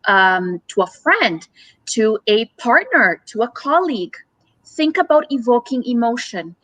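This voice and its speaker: A woman's voice: on the high side, fairly bright tone, dry recording, low-quality recording. Earnest woman explaining in runs of points. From Romania. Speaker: guest, communications company CEO. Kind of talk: live-stream interview.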